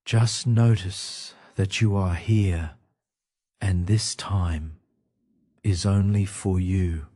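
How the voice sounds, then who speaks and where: Very deep, slow-spoken man guiding sleep meditation. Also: fairly even intonation. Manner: slow, gentle, soothing delivery with pauses. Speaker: meditation guide. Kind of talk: guided sleep meditation narration.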